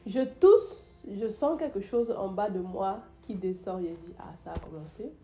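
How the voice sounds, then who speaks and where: A woman's voice: medium pitch, animated, unhurried, dark tone, a little hiss or hum. A young woman with the flu tells a story about her day in a casual vlog, with many fillers and restarts. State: sick with the flu. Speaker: YouTuber.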